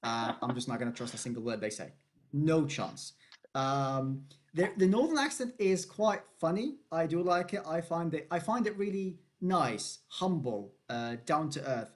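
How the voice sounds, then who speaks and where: A man's voice: on the high side, expressive, normal speed. A Syrian-born man in his thirties hosting a podcast, chatting casually with lots of restarts and laughs. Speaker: podcast host. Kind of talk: casual interview chat.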